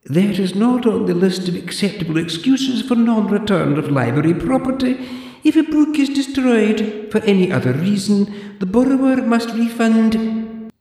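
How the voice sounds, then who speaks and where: A man puts on a withered old zombie librarian woman in this storybook reading, high and fussy, haughty and pedantic as she quotes the rules. Her voice rings in an echoey room with a long hall-like tail. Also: lively intonation, normal speed, warm tone. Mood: prim, officious.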